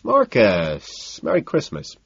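A man voicing a jovial, self-assured yuppie socialite banker in an audiobook story, loud and hearty. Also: medium pitch, huge pitch swings, slow, vocal fry, slightly rough, no echo, dull audio, voice pushed up, heavily acted. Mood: jovial, breezy.

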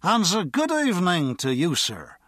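A man's voice: sits high, wildly swooping pitch, normal speed, fairly bright tone, no echo, clean studio sound, voice pushed up, full character voice. A man voicing a blustering, red-bearded drunk with a Dublin accent, slurring pompously and hiccuping. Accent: Irish, Dublin.

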